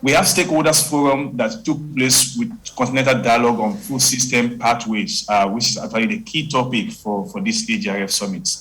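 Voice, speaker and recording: A man's voice: medium pitch, steady tone, brisk, few pauses, a bit bright, low-quality recording. A man with a Nigerian accent co-hosting a forum and presenting a semi-scripted recap of events. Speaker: co-host of a forum.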